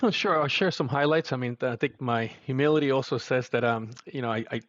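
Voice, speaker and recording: A middle-aged man with a Puerto Rican accent talks as a podcast guest, earnest and reflective, often restarting his sentences. His voice sits at an ordinary pitch. The audio is dry and slightly muffled. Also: fast talker, fairly bright tone, no echo. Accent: Puerto Rican Spanish. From Puerto Rico. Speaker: guest, service executive. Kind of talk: podcast interview.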